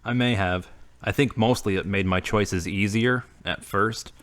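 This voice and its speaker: A man's voice: lowish voice, unhurried, a bit bright. A man playing an earnest, grieving former carpenter who is seeking faith again, in an amateur audio drama. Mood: troubled, searching.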